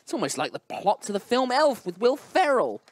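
A woman's voice: fairly high pitch, very animated, normal speed, dry recording. Lady doing a skeptical crew member, sardonic, dry close mic. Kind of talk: live radio play reading.

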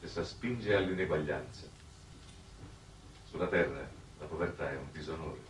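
An actor playing a weary, resigned exile from Earth in a 1960s television drama, speaking in a measured, grave way. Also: male voice, very deep voice. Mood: grave, impassioned. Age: middle-aged. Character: weary, resigned, fatalistic man exiled on another planet. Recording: noisy old 1960s TV broadcast soundtrack.

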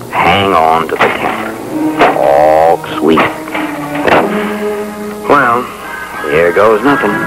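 A man's voice: mid-range voice, natural voice, rough recording. Man acting a harried installer, American, middle-aged, apologetic, hesitant, 1960s training film, music behind. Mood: muttering, worried, then coaxing. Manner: hesitant, folksy, polite. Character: harried, placating male telephone installer, folksy and apologetic.

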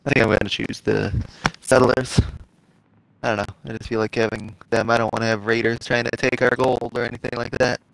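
A man chatting casually and a bit haltingly while gaming. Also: medium pitch, level tone, creaky, a bit bright, low-quality recording. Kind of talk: casual chat during gaming.

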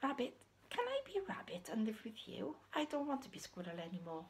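A woman's voice: on the high side, very animated, a little fast. A woman voicing a restless young female squirrel in a puppet story, earnest and pleading.

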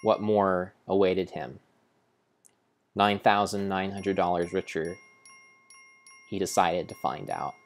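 Young adult male, low-pitched, unhurried and theatrical, solemn storybook narration of gameplay. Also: steady tone. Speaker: game streamer. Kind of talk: dramatic storybook-style narration over gameplay.

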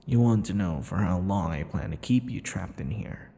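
A man's voice: low-pitched, lively intonation, normal speed, vocal fry, warm tone. A man voicing a possessive, teasing, vain vampire captor in an intimate ASMR roleplay, theatrical and taunting, slipping between murmurs and whispers. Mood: teasing, domineering. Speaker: audio roleplay performer. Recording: long reverberant echo.

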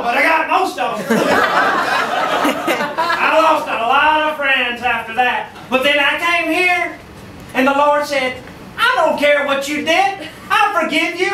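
A man on stage playing a shabby, unrepentant born-again sinner, testifying loudly and theatrically in sketch comedy. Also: very high voice, very noisy. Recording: echoey theater from far off, loud audience.

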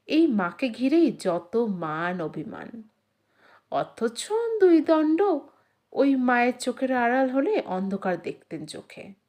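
A woman narrating a literary story in an expressive, dramatic and measured storytelling manner. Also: on the high side.

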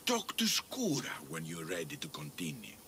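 A man's voice: low-pitched, wildly swooping pitch, moderate pace, background noise, full character voice. An actor playing a scheming male arena promoter who talks in a sly, wheedling way.

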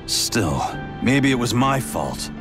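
A man playing a gruff, protective older brother warrior in a video game, brooding and sparing with words. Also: mid-range voice, very animated, slow, over music. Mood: guarded, moody. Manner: laconic, gruff, hesitant. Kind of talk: video game cutscene acting.

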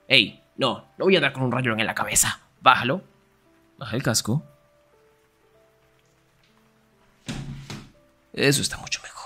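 A man acting a young, sarcastic and jealous best friend in an ASMR roleplay, teasing in a breathy voice that often drops toward a whisper. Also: medium pitch, fairly bright tone, full character voice. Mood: flustered, teasing.

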